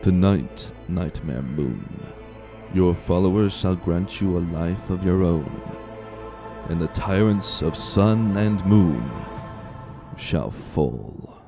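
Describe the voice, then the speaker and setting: A man's voice: deep voice, animated, slow, vocal fry, music in the background, heavily acted. A man voicing a zealous unicorn cult leader in a reverent, grandiose, ceremonial manner for a storybook reading. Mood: ominous, exalted.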